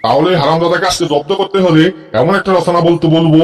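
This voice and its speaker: Man playing a fast-talking exasperated cartoon schoolteacher. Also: a little high, steady tone, faint music behind, lightly acted. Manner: scolding, muttering schemes. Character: exasperated, scolding male schoolteacher, scheming and sarcastic. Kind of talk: comic cartoon dialogue.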